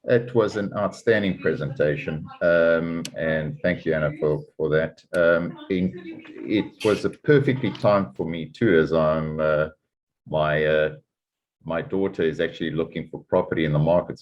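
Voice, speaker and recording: A man on a video call, giving halting, appreciative feedback. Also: a bass voice, fairly even intonation. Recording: dull lo-fi call audio.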